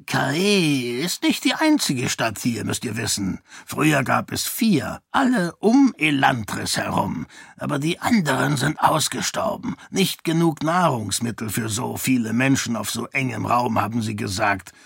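A man voicing an old, half-senile male beggar with a croaky, rambling manner. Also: mid-range voice, sing-song, measured pace, fairly bright tone, dry recording, studio quality, full character voice. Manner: rambling, humble.

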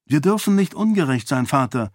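A man voicing a determined young princess, pitched up. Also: very animated, brisk, no room sound, studio quality. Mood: earnest. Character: determined young princess, calm, stubborn, affectionate.

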